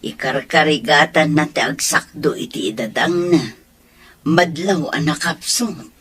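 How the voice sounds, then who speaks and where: A woman voicing a caring village neighbor who talks in a slow, homely way. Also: low-pitched, a bit bright, slightly rough, full character voice. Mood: concerned.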